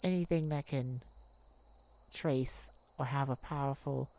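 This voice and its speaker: A woman playing a tenderhearted warrior mage in a soft ASMR romantic roleplay, speaking in a hushed, soothing, halting way. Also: a bass voice, steady tone, a little hiss or hum, rough recording. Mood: uneasy, thoughtful. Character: tenderhearted young warrior mage comforting a werewolf lover. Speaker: audio roleplay performer.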